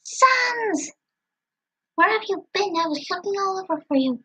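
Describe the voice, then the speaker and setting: A woman voicing a proud, excitable younger skeleton brother with a theatrical, pompous manner. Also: very high voice, a little slow, highs cut off, voice pushed up, exaggerated voice. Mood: indignant, relieved.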